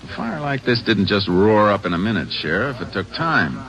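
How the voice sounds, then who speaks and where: Deep, steady Texas Ranger. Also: male voice, normal speed, poor audio. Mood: matter-of-fact. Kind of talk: 1940s-50s radio drama acting. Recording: old radio recording, crowd murmur behind.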